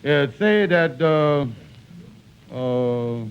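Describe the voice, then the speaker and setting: An actor playing a wheedling, folksy hustler who runs an employment agency, hemming and hawing in a 1940s radio comedy. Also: male voice, fairly high pitch, expressive, drawn-out words. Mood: puzzled. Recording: muffled, noisy 1940s radio recording.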